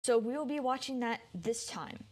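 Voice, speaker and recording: A woman's voice: a little high, expressive, normal speed, a little mellow. A woman streaming games, chatting informally and thinking aloud in a rambling way. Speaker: game streamer. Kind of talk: casual livestream chat.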